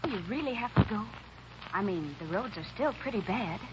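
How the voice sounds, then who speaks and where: A woman's voice: medium pitch, expressive, moderate pace, close to an everyday voice. An American actress playing an earnest, hopeful, tender young farm woman in an old radio drama. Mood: curious. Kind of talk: 1940s radio acting. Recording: dull, noisy old radio recording.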